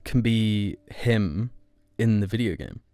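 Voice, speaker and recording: A man's voice: on the low side, expressive, warm tone, clean tone, no room sound, studio quality. A young man co-hosting a podcast, joking playfully in casual chat. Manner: playful, jokey, quick interjections. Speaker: podcast co-host.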